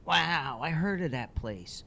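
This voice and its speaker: An eager, chatty little boy full of questions, as a man puts him on. The voice sits well above a grown man's speaking pitch and swings up and down on every question. He sounds lonely but bright and keen to hear more. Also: expressive, vocal fry, slight background noise, exaggerated voice. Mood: eager, curious. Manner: eager rapid questions in a raised childlike pitch.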